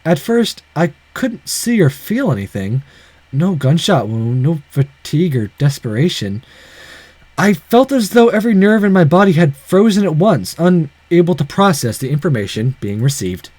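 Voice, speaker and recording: Male let's player: high, lively voice, dramatic visual novel reading, casual commentary. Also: moderate pace, no room sound. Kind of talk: dramatic story narration with casual asides.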